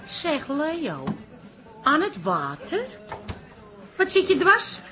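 A woman's voice: high-pitched, wildly swooping pitch, very slow, noisy background, full character voice. A woman playing a bossy, mocking Dutch pub landlady in a radio play, with a blunt, scolding, colloquial way of talking. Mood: teasing. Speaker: actor in a radio comedy drama.

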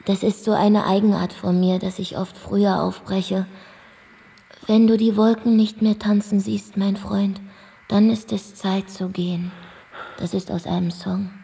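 A woman's voice: mid-range voice, level tone, a little slow, vocal fry, mellow, big-room sound. An actress playing a brash, worldly-wise female bar singer in a German radio drama, with a blunt, flippant and dry delivery. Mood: dry, dismissive.

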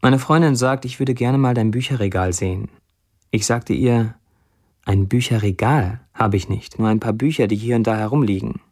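A man's voice: fairly low pitch, moderate pace, darkish tone. An actor playing a wry man of twenty-nine who reads his blunt first-person posts in a dry radio drama monologue. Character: wry 29-year-old Syrian man narrating his blunt online posts.

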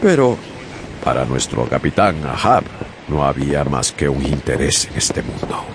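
An actor playing a sailor who narrates a whaling tale in a radio drama, in a grave storytelling manner. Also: male voice, extremely low pitch, sing-song, moderate pace, noisy background, full character voice. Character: male sailor narrator recalling a doomed whaling voyage. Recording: radio drama with music behind.

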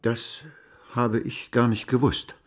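Conservative gentleman baron, radio drama, courteous objections, slow delivery, faint music behind. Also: medium pitch, level tone. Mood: polite dismay. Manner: courteous, taken aback.

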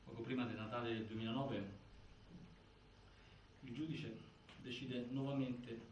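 A man performing as a solemn theatre narrator, reciting a case file in formal, deliberate, declamatory phrases. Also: mid-range voice, fairly even intonation, a little mellow, lightly acted. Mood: grave, insistent. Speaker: narrator in a stage inquiry play. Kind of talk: scripted theatrical documentary narration. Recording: echoey, distant, faint noise.

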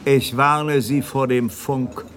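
A bitter, melancholy poet for a radio drama. He takes his time over every phrase, declaiming in a mid-pitched voice with theatrical weight. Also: fairly even intonation, a bit bright. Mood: bitter warning. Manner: slow, declamatory. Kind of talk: German radio play acting.

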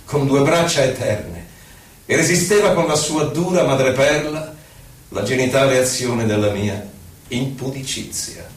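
A man's voice: medium pitch, lively intonation, a little slow. A man giving a solemn, theatrical poetry recital for radio, stretching out his phrases dramatically. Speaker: poetry performer. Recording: echoey distant recital under loud music. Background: loud music.

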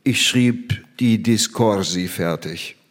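An actor playing an old, half-blind captive scientist in a stage drama, speaking in deliberate, ironic, measured phrases. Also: male voice, lowish voice. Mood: guarded, wry.

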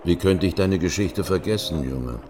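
An actor playing a calm, fatherly older doctor in a German radio drama, speaking in a deliberate, reassuring way. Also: sits low, music in the background. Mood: caring, firm.

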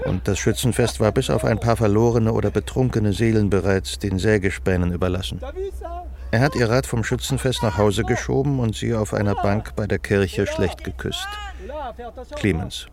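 Low, gravelly male narrator. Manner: measured, literary storytelling. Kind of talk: scripted radio drama narration.

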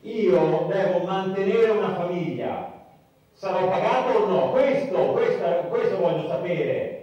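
A man's voice: high voice, expressive, unhurried, highs cut off. A man in an Italian radio drama playing a blunt, desperate working family man who argues confrontationally and repeats himself. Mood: angry, desperate. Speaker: radio play actor. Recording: distant, very echoey.

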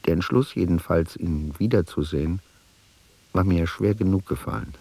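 An actor playing a reflective man who narrates an uneasy reunion in a literary radio play, measured and sombre. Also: low voice, warm tone, a little hiss or hum.

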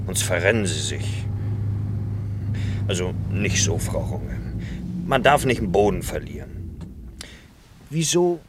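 A man's voice: low voice, expressive, slow pace, long pauses. An actor playing a brash, reassuring, bluntly insistent man at the wheel in a German radio play. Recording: loud vehicle noise behind voice.

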